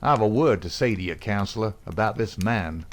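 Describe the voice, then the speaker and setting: A man's voice: lowish voice, expressive, moderate pace, close to an everyday voice. Man voicing a furious, jealous young thug. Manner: furious, snarling. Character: jealous, hot-tempered young male thug.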